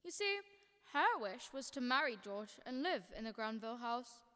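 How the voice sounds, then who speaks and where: A woman's voice: fairly high pitch, big pitch swings, moderate pace, a bit bright. A teenage girl playing a patient storyteller in a radio drama, explaining events. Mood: patient storytelling. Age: teens. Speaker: student radio drama actor. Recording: long hall-like reverb.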